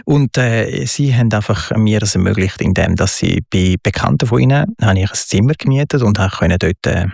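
A man's voice: lowish voice, expressive, a little fast, vocal fry, few pauses, a little mellow, dry recording, studio quality. A man with a Swiss German accent, a guest telling his life story in an interview, thoughtful and hesitant with many fillers. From Switzerland.

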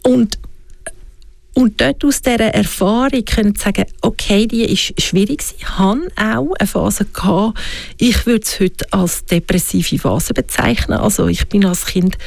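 A woman's voice: mid-range voice, a little slow, gravelly, no echo. A woman with a Swiss German accent talking in a reflective interview, often asking herself questions aloud. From Switzerland. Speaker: guest. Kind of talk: reflective conversation.

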